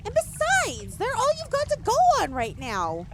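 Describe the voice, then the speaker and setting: A woman's voice: extremely high pitch, wildly swooping pitch, moderate pace, heavy background noise, full character voice. A woman voicing a bossy, irritatingly cheerful inner voice of a pony in an audio drama, scolding and insistent. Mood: cheerful nagging.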